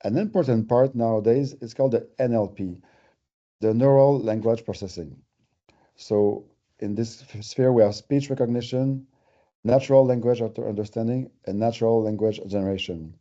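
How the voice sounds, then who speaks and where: A man's voice: on the low side, moderate pace, warm tone, muffled recording. A man with a French Canadian accent, giving a conversational, explanatory webinar presentation with everyday examples. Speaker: company presenter.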